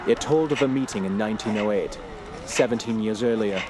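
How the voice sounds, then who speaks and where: A man's voice: on the low side, fairly quick, background noise, close to an everyday voice. A man playing a solemn nephew formally recounting his uncle's eerie investigation in an audio drama. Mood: grave, ominous. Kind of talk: scripted audio drama narration.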